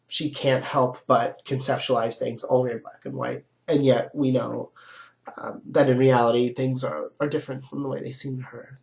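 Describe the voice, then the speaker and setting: A man with a stuffy nose giving a casual, explanatory philosophy lecture to students, often asking "right?" as he goes. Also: medium pitch. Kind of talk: recorded online lecture.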